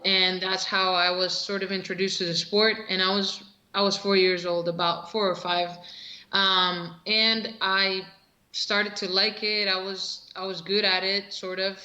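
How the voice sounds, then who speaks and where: Young woman, from Costa Rica, bright voice, relaxed rambling storytelling, echoey room, video call. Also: medium pitch, unhurried. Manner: relaxed storytelling with many fillers and restarts. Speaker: interview guest, professional soccer player. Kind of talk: casual video-call interview.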